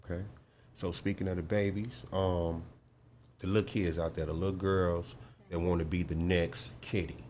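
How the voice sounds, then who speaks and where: A man hosting a casual interview, relaxed and easygoing, backing up his guest with "exactly" and "okay". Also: low voice, normal speed, slight background noise. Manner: laid-back, echoes answers with "exactly" and "okay". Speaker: interview host.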